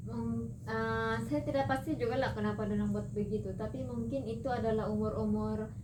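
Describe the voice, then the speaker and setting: A woman's voice: fairly high pitch, a little fast. A young woman, talking hesitantly as a counseling client, full of fillers and small restarts. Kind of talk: casual spoken recollection. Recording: roomy echo with steady background noise.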